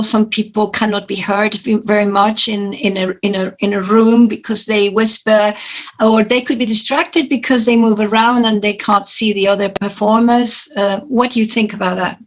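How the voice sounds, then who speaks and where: A woman's voice: mid-range voice, low-quality recording. A woman hosting a casual interview over a video call, asking her questions hesitantly with fillers. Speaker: interview host.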